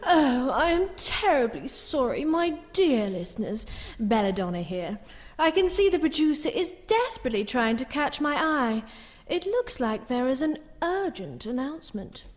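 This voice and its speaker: An actress playing a gushing, flustered hostess of a theatrical radio show. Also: female voice, high-pitched, very animated, normal speed. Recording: reverberant hall.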